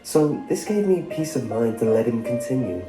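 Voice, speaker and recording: A man reading a scripted first-person story as a narrator, with a dark, muted tone. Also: mid-range voice, big pitch swings, moderate pace. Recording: roomy sound with music behind. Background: background music.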